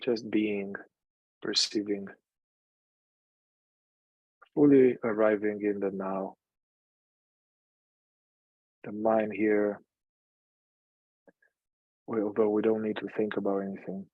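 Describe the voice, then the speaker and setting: A man leading a guided meditation in a calm, unhurried manner, leaving long pauses between phrases. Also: lowish voice, no echo, narrow, tinny audio.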